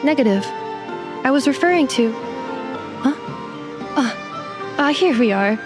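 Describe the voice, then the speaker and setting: Formal young robot girl; literal, clipped, slightly high. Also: lively intonation, unhurried. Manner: formal, precise, computer-like phrasing. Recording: game audio with music behind.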